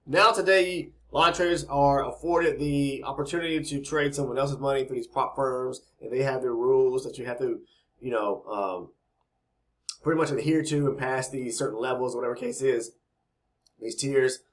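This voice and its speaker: A man with a slightly raspy voice, talking casually and rambling as he explains trading, with many repeated words and frequent "okay?" tags. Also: mid-range voice, normal speed. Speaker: trading educator.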